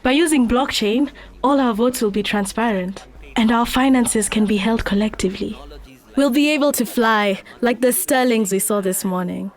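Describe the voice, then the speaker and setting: An idealistic young Kenyan woman played by an actress speaks in a high voice. She is earnest and persuasive, like an activist explaining her cause in a motion comic. The sound is echoey and set back from the mic. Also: moderate pace, far from the mic, lightly acted. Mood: upbeat. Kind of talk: dramatic motion comic dialogue and speech.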